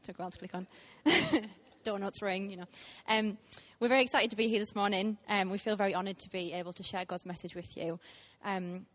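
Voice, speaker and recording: A woman, preaching a heartfelt sermon to a church with frequent ums. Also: mid-range voice. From Teesside, England. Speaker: guest preacher.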